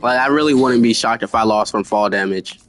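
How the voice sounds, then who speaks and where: A man's voice: mid-range voice, brisk, fairly bright tone, faint music behind. A slightly sick, sniffling man giving laid-back, chatty commentary while streaming a game. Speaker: gaming streamer. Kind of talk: casual gameplay commentary.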